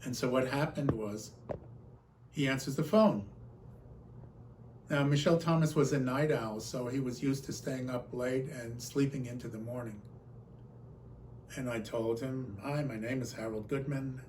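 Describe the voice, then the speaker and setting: A man giving a talk as a personal storyteller, recounting remembered exchanges in an animated manner. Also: mid-range voice, a little slow, pauses often, a little hiss or hum, rough recording. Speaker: conference speaker.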